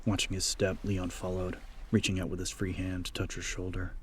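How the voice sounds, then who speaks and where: Male narrator, very low pitch, flat steady delivery, dramatic horror audiobook. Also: fairly quick, a little hiss or hum.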